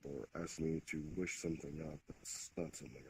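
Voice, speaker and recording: Man acting a demon king, very low voice, measured, formal, politely authoritative, roleplay game, noisy recording. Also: fairly even intonation, darkish tone. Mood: courteous, commanding. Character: ancient demon king, courteous, measured, quietly commanding. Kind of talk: improvised online roleplay. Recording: clear background noise.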